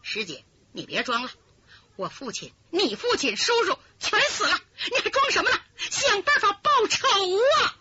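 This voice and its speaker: A woman's voice: mid-range voice, sing-song, quick pace, few pauses, bright, forceful tone, a little hiss or hum, pitched below the performer's own voice. A woman voicing a grieving young noblewoman who wails and pleads through tears, on a lo-fi recording. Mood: anguished. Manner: wailing, pleading.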